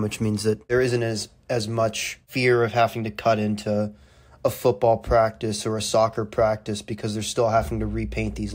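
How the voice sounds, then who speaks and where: A young man explaining things as a student reporter in an informal, conversational interview, phrasing his answers loosely. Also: on the low side. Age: young adult.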